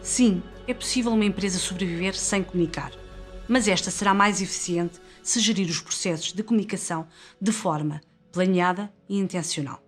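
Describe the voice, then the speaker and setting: Lower-voiced woman, European Portuguese accent, corporate narration. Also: moderate pace. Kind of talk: scripted corporate report narration. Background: music.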